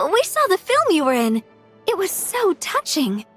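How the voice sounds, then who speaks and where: A woman's voice: very high voice, wildly swooping pitch, takes it slowly, fairly bright tone, exaggerated voice. A woman voicing a playful, enthusiastic young female tabletop-game fan in an anime video game. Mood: cheerful. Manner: giggly, enthusiastic. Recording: music playing behind the voice.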